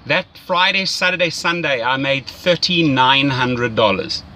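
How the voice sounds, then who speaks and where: A man's voice: mid-range voice, a little slow, heavy background noise. A man giving casual, enthusiastic, salesy coaching as a rideshare mentor, often checking in with "right?". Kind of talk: casual coaching phone call.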